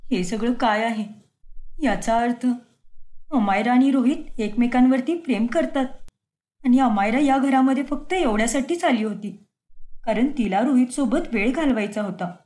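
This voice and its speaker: A woman's voice: fairly high pitch, normal speed, a little mellow, lightly acted. A woman voicing an earnest young wife in a cartoon story. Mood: shocked. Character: young wife, gentle then hurt.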